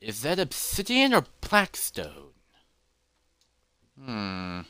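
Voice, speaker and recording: Male actor as nervous elephant adventurer, scatterbrained self-talk, very lively pitch, dry close sound. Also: very slow, clear, resonant tone, dry recording, full character voice. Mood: pondering. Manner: fretful self-talk with repeats and hesitations. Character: anxious, distractible male elephant adventurer muttering to himself.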